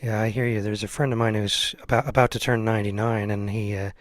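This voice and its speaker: A man's voice: lowish voice, steady tone, fast talker, no echo, studio quality. A middle-aged man hosting an interview in a casual, conversational style. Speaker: interviewer, podcast host.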